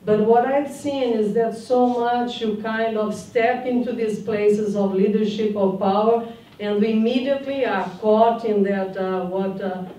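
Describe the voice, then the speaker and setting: A woman's voice: on the high side, fairly even intonation, unhurried, mellow. An older woman speaking on a panel, searching for words and adding "no?" tags, on a handheld mic in a conference room. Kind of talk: panel discussion talk. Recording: roomy hall from a distant mic.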